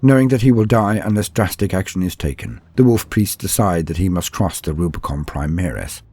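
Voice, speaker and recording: A man's voice: sits low, a little fast, dark tone, dry recording. A man reading dramatic scripted lore narration in a solemn, storytelling manner. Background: background music.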